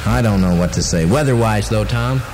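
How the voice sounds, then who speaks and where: A man's voice: lowish voice, a little fast, over music. An American man co-hosting a morning radio show with playful banter, on a 1970s broadcast. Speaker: radio co-host.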